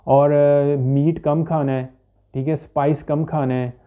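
A man, a homeopathic doctor giving an informal, halting medical explanation to camera with English terms mixed in. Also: fairly high pitch, flat delivery. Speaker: homeopathic doctor presenting a health video. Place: small furnished indoor room. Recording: dull, limited-bandwidth phone-like sound.

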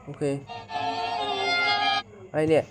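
A man hosting a casual reaction video, politely addressing viewers as friends in a halting, repetitive way with frequent exclamations. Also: over loud music. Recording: noisy amateur home recording.

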